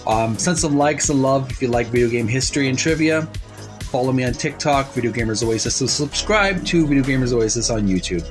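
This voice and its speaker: A man hosting a video game channel, speaking casually or reading aloud in a halting, deliberate, phrase-by-phrase way. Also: medium pitch. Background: background music.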